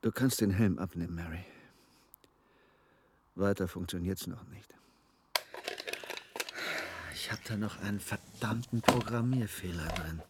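A man's voice: low-pitched, a little slow, long pauses, very noisy. An actor playing an overworked, flippant male programmer in a German radio play, with a wry, casual manner. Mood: sheepish, deflated.

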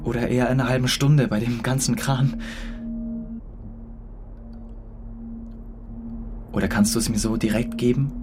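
An actor playing a heartbroken teenage ex-boyfriend in a radio play, awkward and pleading, in a naturalistic style. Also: male voice, medium pitch, steady tone, quick pace, music in the background, natural voice. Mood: hurt, insistent. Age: young adult.

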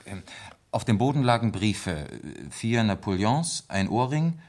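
This is a dutiful male police lieutenant in a radio play. He has a deep voice. He reads official notes formally and with care, hesitating between items. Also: expressive, measured pace, darkish tone. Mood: formal, sober. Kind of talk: German radio play acting.

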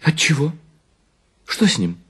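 Man voicing a high-pitched, romantic, vain wife. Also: sing-song, measured pace, fairly bright tone, slightly rough, heavily acted. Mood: feigned indifference. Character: vain, romantic, easily flattered married woman.

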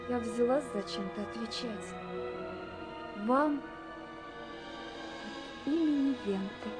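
A high, girlish young woman confides her letters in a wistful, anxious, romantic way. It is a vintage radio drama from the seventies, with music behind her tremulous voice. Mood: anxious confession. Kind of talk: 1970s radio drama, reading letters aloud. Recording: 1979 radio recording with music.

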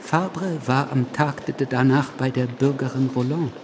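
A man's voice: mid-range voice, steady tone, normal speed, a little hiss or hum, close to an everyday voice. A man playing a stammering, sardonic revolutionary gossip in a radio play, with a wry, needling manner. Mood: wry, gossipy. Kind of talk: radio drama dialogue.

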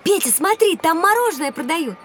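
A woman playing a cautious, sensible and somewhat bossy young schoolgirl in an educational children's audio drama, expressive and admonishing. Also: very high voice, a little fast, soft background music. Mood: alarmed, urgent.

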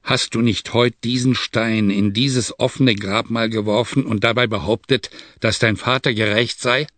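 A man narrating an adventure story in a measured, calm reading, close to the microphone. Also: mid-range voice, no echo. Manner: measured, hushed at tense moments.

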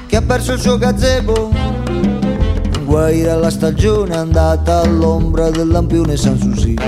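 Woman singing real low and slow, theatrical, loud latin band all over it.

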